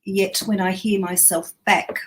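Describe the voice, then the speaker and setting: A woman's voice: medium pitch, flat delivery, unhurried. A woman co-hosting a casual chat, with a mostly Australian accent blended from many places, talking chattily and laughing easily. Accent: Australian mixed with others. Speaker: co-host.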